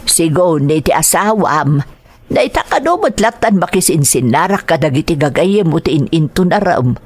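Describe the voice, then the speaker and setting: A woman's voice: low voice, sing-song, moderate pace, breathy edge, dry recording, exaggerated voice. A woman acting a nagging older mother, dry sound. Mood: reproachful. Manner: reproachful, lecturing. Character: nagging older mother scolding her grown son. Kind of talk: dramatised audio drama acting.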